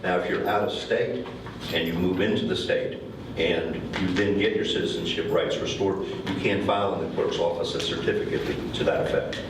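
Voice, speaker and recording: A middle-aged man, a county court clerk, answering questions at a public forum in a measured, explanatory way. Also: low voice. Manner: measured, explanatory, with occasional fillers. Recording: very echoey hall, voice from far off, noisy.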